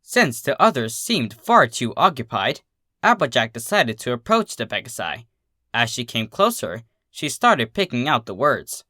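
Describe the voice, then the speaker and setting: An expressive storyteller reads scripted fiction in a bright, forward voice. The pitch moves around in a lively way, and the sound is dry and close. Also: moderate pace, no room sound. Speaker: fan fiction reader.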